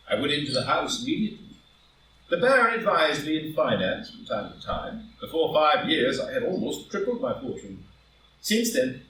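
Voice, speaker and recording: A man acts out a proud gentleman of wealth and ambition in a live play reading. His fairly high voice moves animatedly through grand, impassioned phrases. He sounds set back from the microphone. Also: slight background noise. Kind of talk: live group play reading, stage drama. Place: indoor room, live in-person group reading. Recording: roomy live recording, distant from mic.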